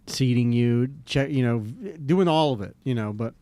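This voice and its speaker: A man's voice: medium pitch, lively intonation, mellow, no echo. A man from Jacksonville, hosting a podcast in casual, rambling chat. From Jacksonville, Florida. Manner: rambling, self-described redneck, drawn-out storytelling. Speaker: podcast host.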